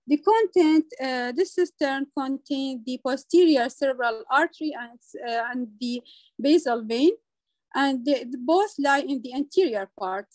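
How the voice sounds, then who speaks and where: A woman lecturing over slides as a medical teacher, speaking in a halting way with frequent hesitant pauses. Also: sits high, darkish tone, no echo, rough recording.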